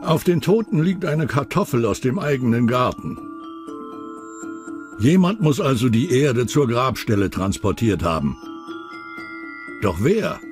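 A man's voice: mid-range voice, sing-song, unhurried, long pauses. A man narrating a true-crime documentary in a dramatic, suspenseful scripted style. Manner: suspenseful, rhetorical questioning. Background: background music.

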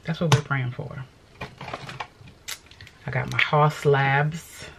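A woman's voice: rumbling low, fairly even intonation, slow pace, creaky, heavy background noise. A middle-aged woman vlogger chatting casually and fussing as she gets ready, filmed at close range in a home studio. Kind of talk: casual vlog chat. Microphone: camera at close range.

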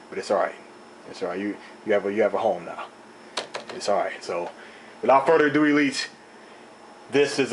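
A young man with a slightly raspy voice, rambling and animated as he talks through an unboxing on his own channel, recorded indoors on a camera mic. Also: mid-range voice, slight background noise, low-quality recording. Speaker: video host. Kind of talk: casual unboxing talk. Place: indoor room, table top.

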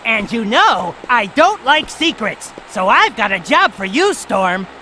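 A cocky, bossy hawk leader. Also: male voice, very high-pitched, sing-song, a little slow, bright, forceful tone, a little hiss or hum, exaggerated voice, low-quality recording. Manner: bossy, commanding. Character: cocky young male hawk gang leader. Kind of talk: video game cutscene acting.

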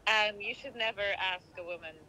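A woman's voice: mid-range voice, fairly quick, bright, forceful tone. A woman speaking politely and a little hesitantly in a casual phone conversation as a receptionist. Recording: phone call with faint background noise.

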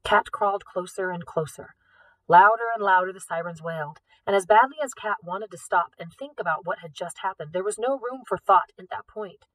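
A woman narrating a novel as an audiobook reader, with expressive delivery. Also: medium pitch, normal speed, distant mic, rough recording. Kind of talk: expressive scripted fiction narration.

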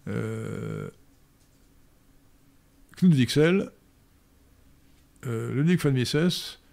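Older man, gravelly professorial voice. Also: mid-range voice, expressive, extremely slow, darkish tone. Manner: professorial, hesitant with frequent "euh" and restarts. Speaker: host answering listener questions. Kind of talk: lecturing Q&A answers. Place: study room indoors. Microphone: studio mic on stand.

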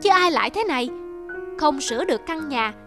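A woman's voice: sits high, lively intonation, a little fast. A woman voicing a practical, bossy old fisherman's wife in a cartoon folk tale, emphatic and nagging. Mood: scolding. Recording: music under the voice.

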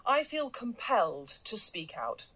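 An actress playing a brisk, self-assured, domineering business boss, commanding and condescending, in a radio drama. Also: female voice, on the high side, very animated, a bit bright, breathy edge, full character voice. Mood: pompous, dictating. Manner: commanding, condescending, jargon-heavy. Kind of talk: naturalistic radio drama acting.

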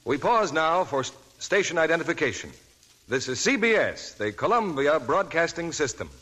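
A man's voice: a little high, big pitch swings, normal speed, pushed and bright, slight background noise, low-quality recording. A man making a formal 1940s radio station announcement. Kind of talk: broadcast announcement.

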